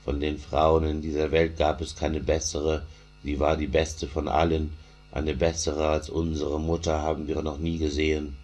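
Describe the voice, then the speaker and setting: A man's voice: very deep voice, level tone, moderate pace, a little mellow. A man reciting a mourning lament in a chanting delivery, returning again and again to a repeated refrain.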